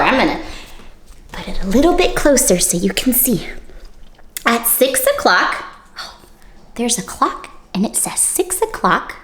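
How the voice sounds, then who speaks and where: A woman leads an interactive storytime for young children in a fairly high, swooping voice. She goes slowly and coaxes listeners with simple questions. The room is audibly echoey. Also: fairly bright tone. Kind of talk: interactive picture-book read-aloud for young children.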